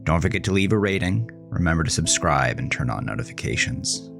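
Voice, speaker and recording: A man's voice: extremely low pitch, very animated, brisk, vocal fry, music in the background. A man speaking as a horror podcast host, reading a scripted sign-off.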